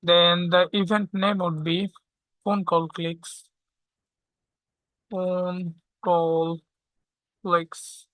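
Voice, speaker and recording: A tutorial instructor walking through software step by step, often checking in with a short questioning tag. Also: mid-range voice, level tone, drawn-out words, long pauses, no room sound, rough recording. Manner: checks understanding with frequent "okay?".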